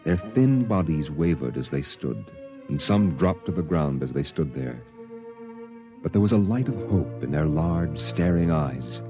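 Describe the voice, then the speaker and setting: A man narrating a 1950s radio drama in a grave, measured storytelling voice. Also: very deep voice, expressive, music in the background.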